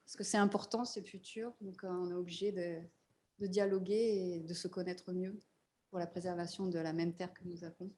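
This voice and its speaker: A woman's voice: on the low side, little pitch movement, fairly quick, warm tone, a little hiss or hum. A woman speaking on a panel, hesitant and full of fillers. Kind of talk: panel discussion.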